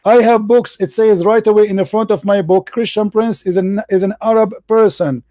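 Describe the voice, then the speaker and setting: A man's voice: high-pitched, fairly even intonation, normal speed, mellow, no echo. A man hosting a heated call-in debate, combative and mocking, speaking English as a second language after Arabic. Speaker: debate show host.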